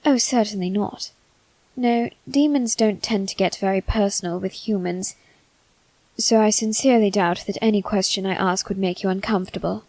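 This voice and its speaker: A woman voicing a male demon servant in a scripted ASMR roleplay, speaking formally and courteously with soft, flirtatious teasing. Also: medium pitch, normal speed, no room sound, close to an everyday voice. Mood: courteous, composed.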